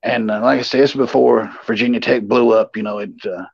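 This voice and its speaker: A man in his forties with a gravelly voice, telling rambling, folksy, salty anecdotes as a show co-host. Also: mid-range voice, fairly even intonation, fairly quick, dry recording. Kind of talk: casual anecdotal storytelling. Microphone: remote livestream call. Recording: dull, muffled online stream audio.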